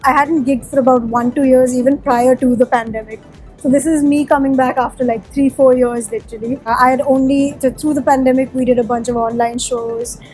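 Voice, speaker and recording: A woman's voice: high-pitched, fairly even intonation, fairly quick, darkish tone, faint music behind. A woman from Sikkim whose first language is Nepali, a musician answering interview questions in a chatty, candid way with plenty of fillers. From Sikkim, India.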